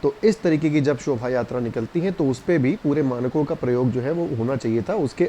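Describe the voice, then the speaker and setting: A man's voice: mid-range voice, brisk, mellow. A man asking questions as a news reporter in a field interview. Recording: clearly noisy background.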